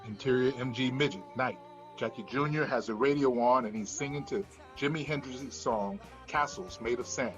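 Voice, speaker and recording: A man reads screenplay directions as the narrator. His voice has a medium male pitch, and the delivery is scripted scene description over faint music. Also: moderate pace, a bit bright, lo-fi sound. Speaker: narrator reading stage directions.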